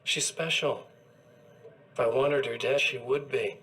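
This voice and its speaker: An actor playing a smug, menacing evil doppelganger who gloats in a cool, intimate, taunting way. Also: male voice, mid-range voice, steady tone, measured pace, fairly bright tone, natural voice. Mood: gloating. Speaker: villain. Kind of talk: video game cutscene acting.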